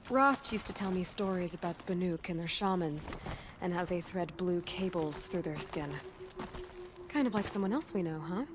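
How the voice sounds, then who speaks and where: An actress playing a wry, defiant young wanderer in a video game, speaking in a casual, teasing, challenging way. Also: female voice, lowish voice, noisy background, lightly acted. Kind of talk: video game dramatic acting.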